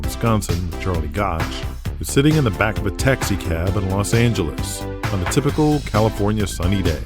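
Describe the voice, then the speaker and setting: A man reading scripted dramatic narration as the narrator of an audio drama. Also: fairly low pitch. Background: music bed.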